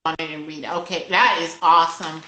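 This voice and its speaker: A woman's voice: low voice, steady tone, brisk, pushed and bright. A middle-aged woman hosting a casual interview, affirming and agreeing often as she talks. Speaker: host. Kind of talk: casual supportive interview chat. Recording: roomy, echoey sound.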